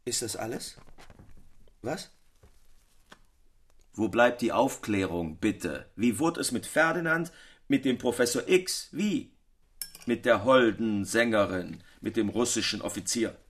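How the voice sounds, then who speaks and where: An actor playing a sceptical, teasing literary friend in an audio drama, husky, with a pointed and wry manner. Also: male voice, mid-range voice, measured pace, pauses often. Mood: impatient, incredulous.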